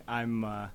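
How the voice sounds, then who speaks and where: An American man, a company head, answering interview questions in a measured, explanatory way. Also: medium pitch, a little hiss or hum. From United States. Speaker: company CEO guest. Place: conference summit venue.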